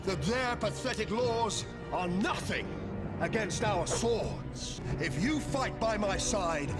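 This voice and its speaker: An actor playing a fiery, grandiose warlord with a commanding, declamatory delivery. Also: male voice, sits high. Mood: scornful, rousing. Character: fiery, grandiose villain warlord rallying criminals. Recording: very loud music behind.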